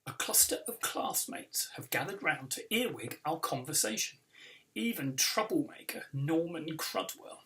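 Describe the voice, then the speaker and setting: A British man, an author, giving an expressive reading of a children's story. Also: fairly high pitch, measured pace, far from the mic, rough recording. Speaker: author reading own book.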